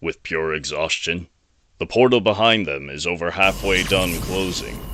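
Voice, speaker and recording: A male narrator with a low-pitched voice and a sharp, forceful edge. He reads a scripted adventure story in a dramatic style. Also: moderate pace, no room sound.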